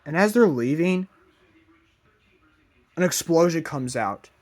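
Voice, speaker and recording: A man narrating a fan-made plot idea in a halting way, in short broken phrases. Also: a little high, animated.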